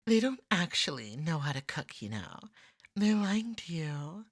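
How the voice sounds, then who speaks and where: A woman playing a clingy, jealous cat-person yandere in a scripted ASMR roleplay, husky, wry and wheedling. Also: low-pitched, sing-song, measured pace, no echo, clean studio sound, exaggerated voice. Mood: exasperated, sarcastic.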